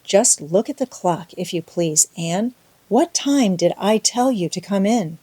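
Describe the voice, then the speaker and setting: A woman's voice: medium pitch, expressive, a little slow, warm tone, slightly rough, no room sound. A woman voicing a stern, practical middle-aged farm woman in an audiobook, brusque and no-nonsense. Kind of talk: audiobook character voice.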